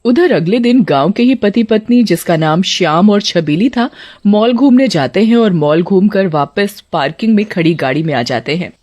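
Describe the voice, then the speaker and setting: A woman's voice: medium pitch, expressive, normal speed, a little mellow. A woman narrating a scripted cartoon horror story.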